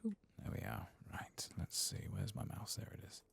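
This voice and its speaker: A man softly commenting on his gameplay in half-whispered, mumbling asides, his voice lower than usual after singing, close to the microphone. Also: normal speed. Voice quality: very deep, gravelly and husky. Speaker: ASMR gaming channel host. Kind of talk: soft-spoken gameplay commentary.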